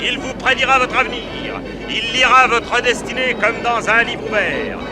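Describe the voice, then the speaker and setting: Carnival barker, high voice, grandiloquent patter, radio drama, loud music behind. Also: male voice, steady tone, normal speed. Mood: grandiose, haranguing. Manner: declamatory, grandiloquent sales patter. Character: bombastic fairground barker luring passers-by to a fortune-teller. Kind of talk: French radio drama acting.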